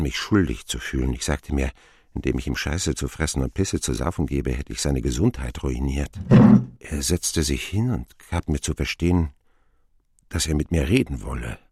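A deep-voiced older man tells his boyhood story in a radio drama. His delivery is wry and expressive, swinging widely in pitch over a gravelly base. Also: no echo, clean studio sound, exaggerated voice. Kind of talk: radio drama narration, first person.

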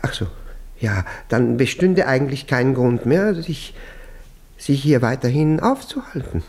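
A suspicious, easily flustered husband in a radio play. His husky voice swings up and down as he stammers and repeats himself with awkward politeness. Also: medium pitch, sing-song, unhurried, darkish tone. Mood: embarrassed, polite. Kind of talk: German radio play acting.

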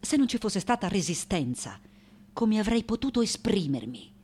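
An actress playing a fiery, eccentric woman sculptor of ninety-eight in a dramatic, halting radio monologue full of emphatic repetition. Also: medium pitch, sing-song, darkish tone, husky. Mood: intense, defiant. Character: fiery, eccentric 98-year-old French-born woman sculptor.

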